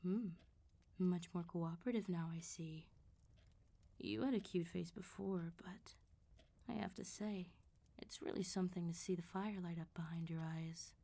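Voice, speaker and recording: A woman voicing a suave, menacing female vampire mob boss in an ASMR roleplay, politely teasing and threatening with unhurried control. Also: on the low side, mellow. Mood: amused, intrigued.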